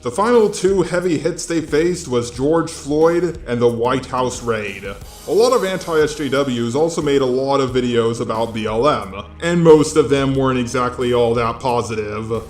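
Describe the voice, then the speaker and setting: A man's voice: medium pitch, expressive, normal speed, reverberant. A synthetic robotic male voice reading scripted video essay commentary. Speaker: host of a video commentary channel. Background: faint background music.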